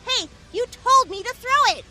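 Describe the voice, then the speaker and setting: Woman voicing a dramatic teen girl, excitable, squeaky high, loud music underneath. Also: moderate pace. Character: hyper, reckless teen girl hero.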